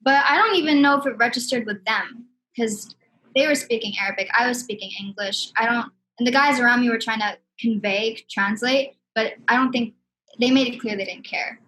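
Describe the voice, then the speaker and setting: Candid woman, slightly high voice. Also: fairly quick, pushed and bright, a little hiss or hum, low-quality recording. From Sudan. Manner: candid, heartfelt, many "you know what I mean". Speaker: guest. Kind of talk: casual conversation.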